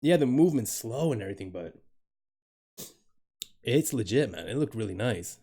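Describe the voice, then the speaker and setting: A man's voice: medium pitch, animated, moderate pace, creaky, dark tone, breathy edge. Chatty, laughing male streamer. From Dominican Republic. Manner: rambling, laughs a lot, swears casually, talks to chat. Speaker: live streamer. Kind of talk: casual live-stream chat with viewers.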